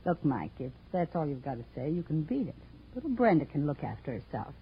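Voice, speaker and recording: An actress playing a brassy, scheming widow in 1940s radio crime style, sliding between sugary cooing and sneering. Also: female voice, low voice, big pitch swings, a little fast, a little hiss or hum. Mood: hard, cynical. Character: brassy, scheming gold-digger widow, cooing over her lapdog. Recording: muffled old-time radio recording.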